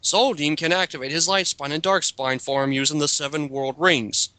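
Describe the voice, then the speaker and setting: A man's voice: on the high side, fairly even intonation, moderate pace, sharp tone, no echo. An exasperated man reading aloud and commenting casually in a group chat, swearing now and then.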